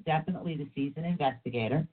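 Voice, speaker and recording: A woman co-hosting a talk show, a bit sick with a rough, raspy voice, chatty and rambling with many restarts. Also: low-pitched, very fast. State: under the weather, rough voice. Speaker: co-host of a paranormal talk show. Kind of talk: casual chat. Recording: phone-like narrow sound.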